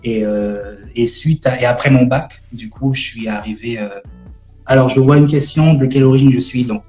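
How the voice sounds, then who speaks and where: Gospel rapper in his twenties from French Guiana, mellow tone, echoey room, halting delivery. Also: mid-range voice, soft background music. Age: 20s. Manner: halting, many fillers and restarts. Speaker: gospel rap artist guest. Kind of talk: casual live-stream interview.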